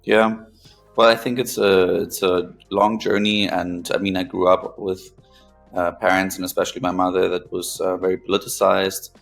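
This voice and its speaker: Deep, gravelly man speaking hesitantly. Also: flat delivery, faint music behind. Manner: hesitant, pausing often between phrases. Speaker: podcast guest, philanthropist. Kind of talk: interview conversation, recounting own experience.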